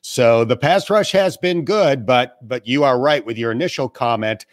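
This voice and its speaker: A middle-aged man from western New York, a sports broadcaster talking calmly and knowledgeably as a guest over a video call from home. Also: mid-range voice, no echo. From western New York, USA. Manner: measured, knowledgeable, plain-spoken. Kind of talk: casual podcast interview. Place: family room at home.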